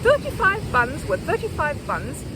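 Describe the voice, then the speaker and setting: A woman's voice: high-pitched, wildly swooping pitch, measured pace, heavy background noise, pitched above the performer's own voice, exaggerated voice. A woman voicing a small, earnest male bear in a children's storybook, proud and indignant. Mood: bitter.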